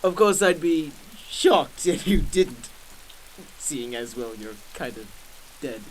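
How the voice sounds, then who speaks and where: A man's voice: fairly high pitch, expressive, slow pace, slight background noise, poor audio. A man playing a gleefully sadistic villain clown in a radio drama, mock-polite and flamboyant, drawing out words and cackling. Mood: mocking menace.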